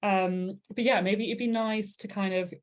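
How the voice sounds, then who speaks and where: A woman's voice: mid-range voice, fairly even intonation, normal speed. A young woman from Yorkshire interviewing an author in a casual, enthusiastic chat. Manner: enthusiastic and friendly, laughs often. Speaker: interviewer, book content creator.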